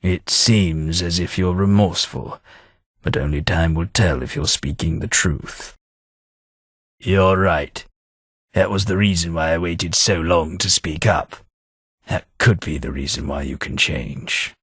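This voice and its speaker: A man with a British accent voicing a centuries-old, courteous gentleman companion in a video game, formal and dryly wry. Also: deep voice, a little slow, husky, no room sound, clean studio sound, exaggerated voice. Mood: measured.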